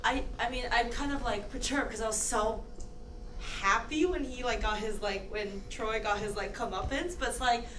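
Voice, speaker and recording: A woman's voice: on the high side, moderate pace, fairly bright tone, a little hiss or hum. A woman in the audience asking a question, hesitant and searching for words. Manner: searching, hesitant, lots of fillers. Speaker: audience member. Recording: distant roomy audience mic in hall.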